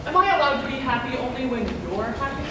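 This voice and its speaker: A woman's voice: high-pitched, quick pace, lightly acted. A young woman playing an aggrieved, theatrical middle-aged sister in a school stage play. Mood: resentful. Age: teens. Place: indoor theatre stage at a live performance. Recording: very echoey, noisy stage, distant mic.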